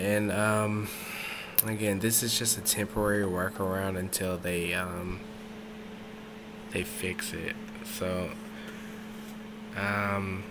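A man giving a casual, unscripted step-by-step tech tutorial, speaking informally with hesitant pauses, recorded indoors on a handheld camera. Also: sits low, background noise. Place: indoors, small dim room at a desk setup. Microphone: handheld camera or phone mic.

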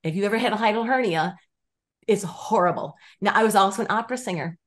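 A woman in her fifties, a guest on a video call, telling her story with lively, restarting sentences and acted-out quotes, gesturing as she talks. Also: medium pitch, fast. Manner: animated storytelling with many restarts and quoted voices. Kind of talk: casual interview chat. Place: home room with stone wall, indoors.

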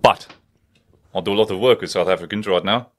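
Bloke, deepish voice, swears a lot, chatty podcast host in a little study. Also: male voice, a little fast. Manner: swears freely, rambling storytelling. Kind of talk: casual chat. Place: wood-panelled study, indoors.

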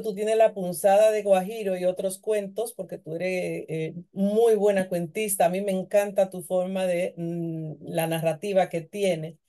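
A woman's voice: fairly low pitch, warm tone. A middle-aged woman hosting a conversational interview over a video call. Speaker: interview host.

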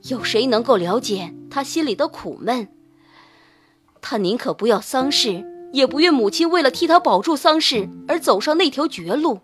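A woman's voice: high voice, expressive, moderate pace, faint music behind. A woman narrating a novel in a scripted, dramatic audiobook style.

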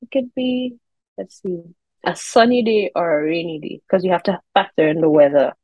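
A woman's voice: fairly low pitch, moderate pace, no room sound. A woman in her thirties with a Caribbean accent, chatting as an interview guest over a video call. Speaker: interview guest, air traffic controller and children's author. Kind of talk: conversational interview answers. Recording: slightly dull video-call audio.

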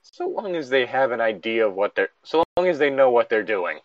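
A man's voice: medium pitch, very animated, fairly quick, dry recording, muffled recording. A man in a casual joking chat, swearing freely as he reads aloud and comments as a co-host.

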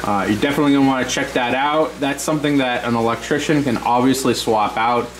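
A man's voice: medium pitch, fast talker, slight background noise. Chatty man touring his basement. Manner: relaxed and chatty, many ums and uhs. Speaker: aquarium hobby channel host. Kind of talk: casual walkthrough tour. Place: home basement. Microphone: handheld camera, speaker off-screen.

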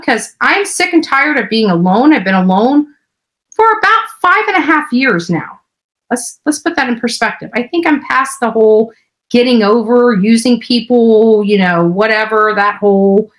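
High-voiced brash woman ranting. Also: rough recording. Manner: brash, profane, emphatic. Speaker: livestream host. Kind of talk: casual livestream rant. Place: small indoor room at home.

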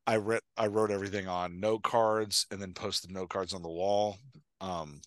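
An American man in his forties chatting casually as a podcast host, telling stories in an animated way and voicing his own thoughts. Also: sits low, moderate pace, no room sound, clean studio sound. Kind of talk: casual Q&A chat. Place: indoor room at home, on a video call. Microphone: headset microphone.

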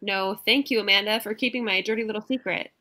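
Actress as sharp, bright-voiced ex-girlfriend. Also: mid-range voice, steady tone, quick pace, lightly acted. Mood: resentful. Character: hurt, pointed young ex-girlfriend and coworker. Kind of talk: radio play acting, naturalistic dialogue.

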